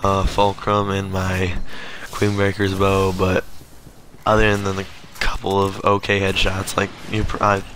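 A man with a hoarse, strained voice that keeps cracking, clearing his throat often as he talks casually over gameplay footage. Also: low voice, monotone, a little slow, a bit bright, slight background noise. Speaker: gaming channel host.

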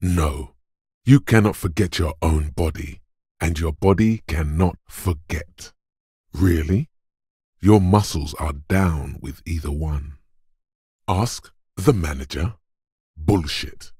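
Gruff, goading muscle-brain game narrator; slow, dramatic delivery, gravelly bass, close dry sound. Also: male voice, a little mellow, no echo, heavily acted. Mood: goading, forceful. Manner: deliberate, commanding, taunting. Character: gruff, macho inner voice of brute muscle, goading like a drill coach.

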